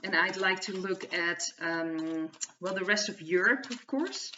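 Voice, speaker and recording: A Dutch-accented woman with a slightly low voice talks through a card reading for her channel. She speaks hesitantly and often restarts her phrases. She sits a little away from the microphone, and the lo-fi recording sounds somewhat dull. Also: unhurried, bright, forceful tone, a little hiss or hum. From Netherlands. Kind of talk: casual spoken tarot reading monologue. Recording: distant, dull lo-fi mic.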